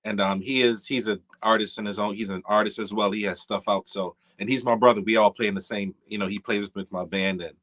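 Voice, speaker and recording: Easygoing Jamaican-accented man, lower voice. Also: fairly even intonation, brisk, few pauses, fairly bright tone. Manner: easygoing, rambling, with many fillers and restarts. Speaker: guest jazz musician. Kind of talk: casual interview chat.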